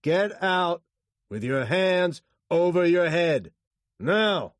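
A hard, commanding police detective, voiced by a male narrator in an audiobook thriller. He speaks in terse orders with wide swings of pitch. The recording is very dry. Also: huge pitch swings, very slow, darkish tone, breathy edge, no echo, full character voice. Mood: shouting orders.

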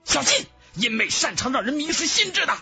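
A man's voice: extremely high pitch, sing-song, a little fast, creaky, sharp tone, faint music behind, pitched above the performer's own voice, heavily acted. A man voicing an anxious, cold rival swordsman in a fantasy audiobook, with urgent, emphatic delivery. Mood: alarmed.

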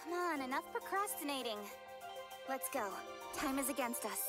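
A woman's voice: high-pitched, expressive, normal speed. An actress playing a commanding young female leader in a video game, urgent and resolute in manner. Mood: urgent, determined. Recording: loud game music behind.

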